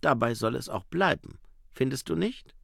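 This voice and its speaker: A man's voice: mid-range voice, animated, moderate pace, gravelly, a bit bright, no room sound, clean studio sound, exaggerated voice. A man reading an audiobook and voicing an honest, dutiful young male watchman who speaks politely and carefully.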